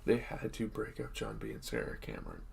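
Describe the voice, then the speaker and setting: A young man, hoarse from just waking up, casually recapping a show episode with a rambling, chatty manner. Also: rumbling low, wildly swooping pitch, brisk, slight background noise. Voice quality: gravelly.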